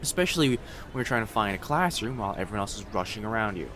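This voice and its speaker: Man playing a young male kitsune student, lowish lively voice, friendly, teasing, reassuring, roleplay audio drama, noisy ambient background. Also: rapid-fire, close to an everyday voice. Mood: friendly, joking. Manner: soft-hearted, reassuring, casual conversational delivery. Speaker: voice actor. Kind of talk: immersive roleplay audio drama, addressed to the listener.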